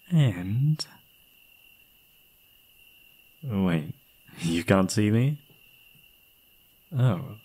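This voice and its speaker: A young man playing an obsessive, gleeful yandere kidnapper in an intimate ASMR roleplay, doting on the listener in a breathy voice. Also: on the low side, a little mellow. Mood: soothing, menacing. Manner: doting pet names, unhinged chuckling, swinging into whispers.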